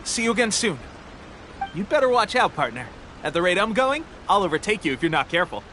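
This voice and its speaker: Young male game soldier, high voice, lively swooping delivery, cheerful, boastful, exuberant. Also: a little fast, slight background noise, heavily acted. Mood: cocky, upbeat. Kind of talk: video game character acting.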